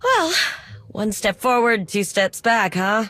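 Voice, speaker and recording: A woman's voice: medium pitch, big pitch swings, slow pace, pushed and bright, soft background music, full character voice. A woman playing a resourceful, determined tech specialist in a video game, speaking in a casual, practical way. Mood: wry, concerned.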